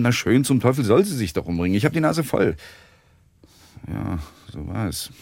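An actor playing a bitter, weary husband who wants a divorce, with a cold, contemptuous manner, in a German radio play. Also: male voice, on the low side, darkish tone. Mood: confessing. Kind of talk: 1980s German radio drama acting.

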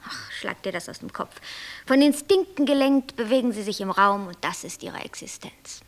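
An actress playing a coolly logical, bossy creator deity who lectures and commands in a poetic radio play. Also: female voice, medium pitch, expressive, moderate pace, faint music behind, close to an everyday voice. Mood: lecturing, dismissive. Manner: didactic, matter-of-fact, commanding.

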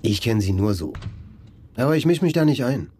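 A man's voice: mid-range voice, sing-song, a little fast, a little mellow, slight background noise, lightly acted. A man playing a chatty young driver. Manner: chatty, teasing, sings along. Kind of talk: radio drama dialogue. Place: in a car. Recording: car radio music behind.

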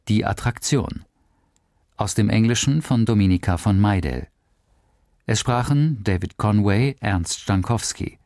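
A male announcer reading the credits of a radio drama in a measured, formal way. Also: lowish voice, a little mellow, dry recording, clean studio sound.

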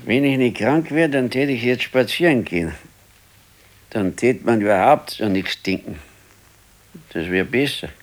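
Old husband acted by a man, Bavarian accent, slow and deliberate, laconic, brooding, radio drama. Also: medium pitch, lightly acted. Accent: southern German, Bavarian. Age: older. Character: ailing old husband, weary, stubborn, brooding. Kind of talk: naturalistic radio play acting.

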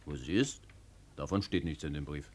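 An actor playing a brisk, blunt town lawyer in a 1950s German radio play, formal, direct and dryly amused. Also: male voice, extremely low pitch. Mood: businesslike. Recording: vintage 1950 radio recording.

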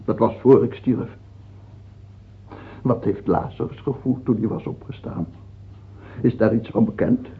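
A man's voice: fairly low pitch, unhurried, long pauses, lightly acted. An actor the playing an ailing, fearful and tyrannical king of France in a radio drama, volatile, moving between brooding murmurs and barked scorn. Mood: sardonic, bitter. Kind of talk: theatrical radio drama acting. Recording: dull, lo-fi old radio recording.

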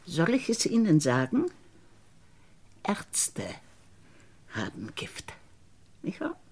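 A bitter woman who insists she was wrongly convicted, in a radio crime drama. Her low voice is husky and creaky. She speaks slowly and deliberately, insinuating and sometimes sinking toward a whisper. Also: huge pitch swings, a little mellow, heavily acted. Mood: insinuating, resentful.

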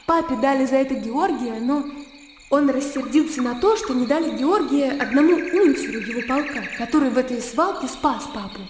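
An actress playing a lively, naive young Russian gentlewoman in a radio drama, talking in an animated, girlish way. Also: high voice, moderate pace, lightly acted. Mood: excited, breathless pride. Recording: echoey hall-like reverb, clear background noise.

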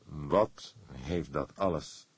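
Man playing a deep, deliberate old detective. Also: husky, no room sound, heavily acted. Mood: puzzled. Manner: slow, deliberate, drily modest. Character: old grey-haired male police detective, wry, courteous, ponderous. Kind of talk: Dutch audiobook character voice.

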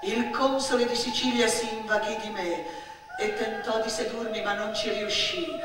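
An actress playing a melodramatic girl who play-acts a noble virgin saint, declaiming with grandiose, drawn-out words in a theatrical monologue. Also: on the high side, steady tone, close to an everyday voice. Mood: solemn, proud. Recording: echoey hall from far off, noisy.

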